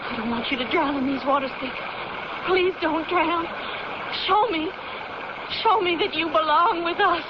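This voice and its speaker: A young woman in a vintage radio play, earnest and firm. Her voice is high. The recording is muffled and clearly noisy. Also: measured pace. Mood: pleading. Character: resolute, earnest young-seeming daughter of a captain. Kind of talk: old-time radio drama acting.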